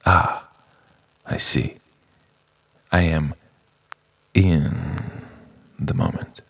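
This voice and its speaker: A man delivering a spoken-word poetry monologue in a theatrical, halting way, moving between hushed murmurs and louder lines and repeating words like a refrain. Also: a bass voice, steady tone, vocal fry, slight background noise. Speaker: poet, spoken-word performer.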